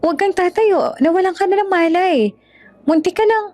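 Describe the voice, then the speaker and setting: A woman's voice: extremely high pitch, moderate pace, darkish tone, voice pushed up. A woman voicing a feisty, blunt and emotional young provincial woman in a romance audio drama. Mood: alarmed, urgent. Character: feisty, plain-spoken young provincial woman, anxious but brave.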